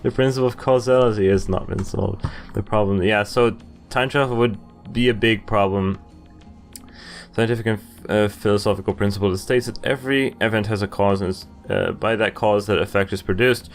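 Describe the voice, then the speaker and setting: Young guy with a low voice reading game text out loud, chatting casually, music in the back. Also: steady tone, fairly quick. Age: young adult. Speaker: let's player. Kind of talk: gaming playthrough, reading game text aloud with casual reactions. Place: indoors at a computer, webcam view.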